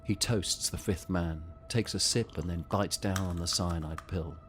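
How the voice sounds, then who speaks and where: A man narrating a scripted audio drama in a measured, descriptive way. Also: sits low, soft background music.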